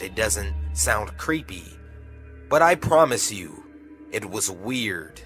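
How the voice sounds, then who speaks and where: A man narrating a scripted first-person horror story, telling it dramatically with pauses over background music. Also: medium pitch, bright, forceful tone. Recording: music behind the voice.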